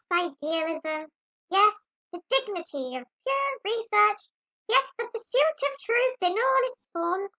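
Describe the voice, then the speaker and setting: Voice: very high-pitched, pushed and bright, no echo, full character voice, poor audio. An actor voicing a pompous, jaded talking mouse in an amateur audio drama. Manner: pompous, exasperated.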